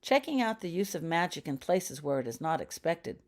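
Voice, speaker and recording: Woman acting as adult male wizard cousin, low voice, gentle, grave, probing, storybook dialogue. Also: sing-song. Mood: casual probing. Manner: gentle, measured, serious questioning. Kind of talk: audiobook character dialogue.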